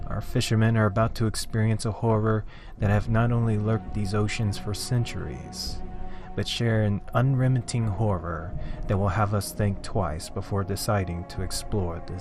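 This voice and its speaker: A man narrating a scripted horror story in a theatrical, deliberate, dramatic way, with drawn-out phrases. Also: on the low side, fairly even intonation. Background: background music.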